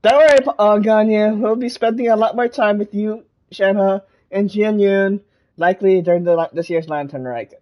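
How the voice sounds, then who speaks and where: A woman's voice: mid-range voice, normal speed, highs cut off. A woman streamer giving casual commentary while playing a video game. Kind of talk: casual let's-play commentary. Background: game music.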